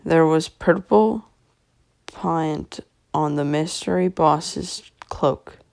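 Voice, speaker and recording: A woman's voice: sits low, drawn-out words, vocal fry, a little mellow, full character voice. A woman voicing an earnest, helpful teenage girl detective in a storybook. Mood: observant.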